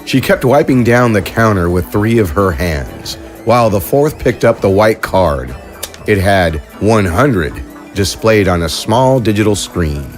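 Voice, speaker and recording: A man narrating an audio drama in a steady storytelling delivery. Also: fairly low pitch, measured pace, faint music behind.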